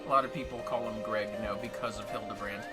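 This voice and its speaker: A man's voice: on the low side, fairly even intonation, fast talker. A man livestreaming a video game, chatting casually with his play partner over game music. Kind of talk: casual co-op gaming chat.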